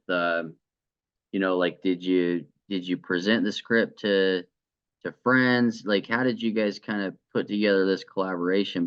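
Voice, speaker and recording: A man's voice: deep voice, lo-fi sound. A man conducting a conversational interview in an encouraging manner.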